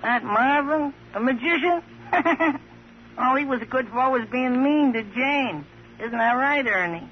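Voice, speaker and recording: A man's voice: very high voice, expressive, a little slow, voice pushed up. A man voicing a childlike, cheeky, wounded ventriloquist's dummy in old-time radio drama. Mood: cheeky, needling. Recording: dull, noisy old radio recording.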